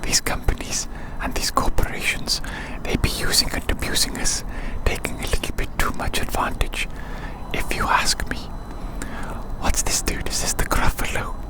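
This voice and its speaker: An ASMR creator whispering soothingly outdoors on a city walk, slowly repeating short phrases. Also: low-quality recording. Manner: soothing, slow repetition of phrases.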